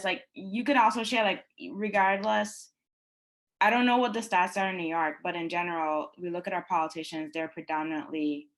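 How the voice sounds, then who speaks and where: A woman's voice: fairly low pitch, fairly bright tone, rough recording. A woman from Guyana moderating a panel, enthusiastic and full of hesitant fillers.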